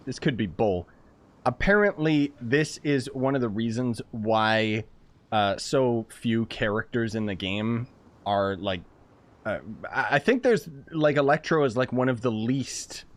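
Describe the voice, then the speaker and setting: A young man commentates casually as he plays a game. His voice is medium in pitch and very animated. He reacts aloud in an informal, excitable manner. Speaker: game streamer.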